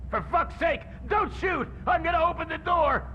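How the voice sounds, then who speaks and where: An actor playing a jittery, defiant drug-dealing punk in a video game, whiny, quarrelsome and strained. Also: male voice, extremely high pitch, normal speed, lo-fi sound, heavy background noise. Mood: aggressive panic.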